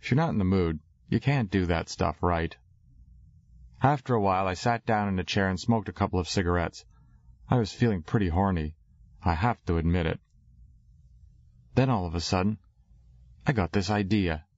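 Very deep-voiced male narrator, slangy. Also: normal speed, pauses often, no echo, highs cut off. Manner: slangy, wry first-person storytelling. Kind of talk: audiobook narration.

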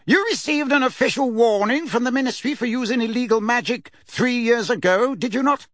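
A man's voice: very high voice, brisk, a bit bright, dry recording, studio quality, voice pushed up. A man reading aloud, voicing a pompous middle-aged male official who talks in a testy, fussy way. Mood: accusing.

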